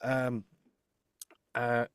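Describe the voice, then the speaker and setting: A man's voice: medium pitch, monotone, very slow, fairly bright tone, smooth, no room sound. A man explaining his craft as a demo producer in a live workshop, emphatic and often repeating and reworking his phrases. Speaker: voice-over demo producer and script writer.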